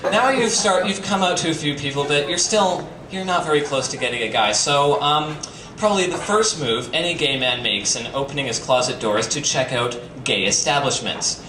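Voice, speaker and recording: A man's voice: fairly high pitch, moderate pace, few pauses, sharp tone. A man giving a theatrical live reading with joking asides and campy, playful emphasis, as a reader at a comedy night. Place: bistro venue in front of an audience. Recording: roomy, distant, noisy live stream capture.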